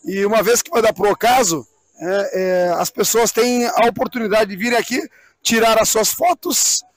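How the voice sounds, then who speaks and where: A man's voice: high voice, moderate pace, no echo. A middle-aged man explaining things in a live interview, repeating phrases and posing rhetorical questions. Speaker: event president being interviewed. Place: outdoors on a wooden swing in a park.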